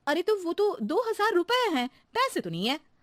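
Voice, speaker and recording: A woman's voice: extremely high pitch, sing-song, normal speed, a bit bright, no echo, clean studio sound, voice pushed up, exaggerated voice. A woman voicing a level-headed housewife who teases her maid with mock-serious logic, in a cartoon story. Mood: teasing. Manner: sly, mock-serious teasing.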